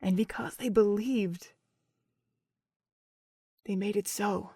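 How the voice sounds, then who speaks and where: A woman's voice: mid-range voice, steady tone, mellow, slightly rough, dry recording, clean studio sound. Woman playing a cold, scornful pony overseer. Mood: calm, sinister explaining. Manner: deliberate, contemptuous monologue with swelling intensity. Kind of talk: dramatic audio drama acting.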